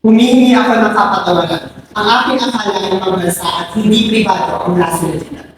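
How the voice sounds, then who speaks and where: A woman's voice: mid-range voice, quick pace, slight background noise, natural voice. Woman acting idealistic patriot in echoey hall. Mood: formal, earnest. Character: polite, idealistic member of a patriotic society. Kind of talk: amateur student historical drama in Tagalog. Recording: very echoey hall, distant microphone.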